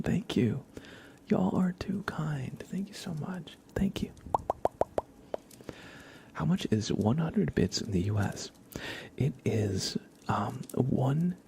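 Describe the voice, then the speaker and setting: A man hosts an ASMR livestream, chatting casually and gently in a hushed whisper, close to the microphone. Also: a little high, creaky, slight background noise. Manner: casual, friendly, thanking viewers. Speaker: livestream host. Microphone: close microphone with compression and limiter.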